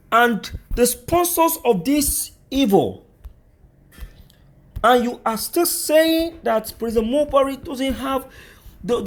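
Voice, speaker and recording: A Nigerian man presenting opinionated news commentary, speaking emphatically and rhetorically, addressing his listeners directly. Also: very high voice.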